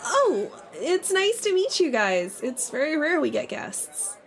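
A woman voicing a chirpy, eager young gnome girl with a bubbly, high voice. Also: big pitch swings, a little slow, exaggerated voice. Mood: friendly.

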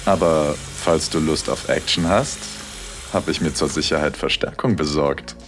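A man playing a devoted, teasing, gently dominant husband in an intimate audio drama, speaking softly and reassuringly. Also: very deep voice, moderate pace, over music. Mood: affectionate teasing.

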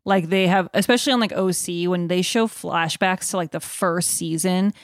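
A woman's voice: fairly low pitch, steady tone, moderate pace, no room sound, clean studio sound. A woman co-hosting a podcast in a casual, chatty style. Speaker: podcast co-host. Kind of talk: casual chat.

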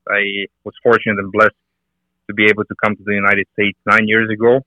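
A man's voice: on the low side, monotone, brisk, bright, forceful tone, dry recording, muffled recording. A middle-aged man with a Bulgarian accent, a guest on a podcast interview, talking earnestly with many hesitant fillers. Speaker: guest, fitness and nutrition coach.

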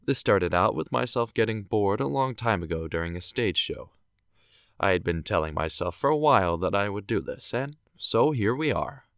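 A man's voice: on the low side, animated, normal speed, vocal fry, a bit bright, no echo, studio quality. A man hosting and narrating a scripted show in the manner of 1930s and 40s radio. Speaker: show creator, host and narrator.

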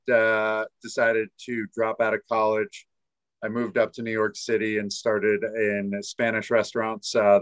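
A young man talks as a guest in a low, flat voice with little rise and fall. The sound is dry and close. He drifts along with uhs and ums. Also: dry recording. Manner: laid-back, halting with fillers. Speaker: guest, restaurant wine buyer. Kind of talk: casual interview chat.

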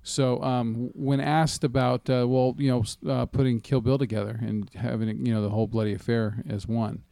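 A man's voice: medium pitch, level tone, a little fast, gravelly, mellow, no echo. A man co-hosting a casual film news chat, talking in an informal, profane, rambling way. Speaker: movie podcast co-host.

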